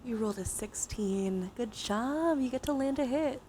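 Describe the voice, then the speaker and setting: A woman hosting a live ASMR stream, speaking softly and gently to viewers and often slipping into whispers. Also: a little high, moderate pace, slight background noise.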